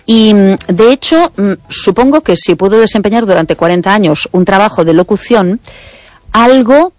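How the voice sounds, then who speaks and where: A veteran woman radio host, speaking quickly and insistently with many fillers in a radio conversation. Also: medium pitch, animated, darkish tone, no echo.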